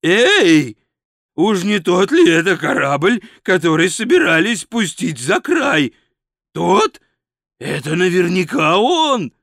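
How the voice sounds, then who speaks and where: A man voicing a bemused sea troll in a storybook, with a deliberate, drawn-out delivery. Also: high voice, sing-song, vocal fry, a bit bright, dry recording, clean studio sound, heavily acted. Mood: excited. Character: sea troll, bemused, slow-spoken male creature.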